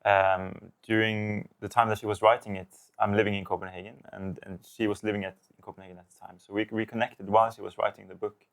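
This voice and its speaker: Norwegian guy in his 30s, film director, lowish voice, talks it through slow with lots of uhs. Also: flat delivery. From Norway. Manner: thoughtful, many fillers and restarts. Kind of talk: interview answers.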